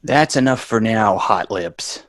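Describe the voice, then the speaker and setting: A man's voice: on the low side, animated, slow, no echo. Man playing a dry, exasperated schoolboy, closely miked. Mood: exasperated, sarcastic. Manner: dry, sarcastic, bossy. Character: exasperated, wisecracking schoolboy big brother. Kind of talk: live sitcom table read.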